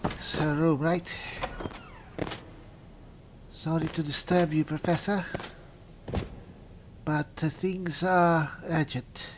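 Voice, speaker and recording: A man's voice: on the high side, steady tone, slow pace, long pauses, background noise. A man hosting a gaming video, talking politely in character and musing aloud as he reads out in-game descriptions. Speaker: gaming video host and player. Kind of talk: in-character roleplay mixed with game commentary.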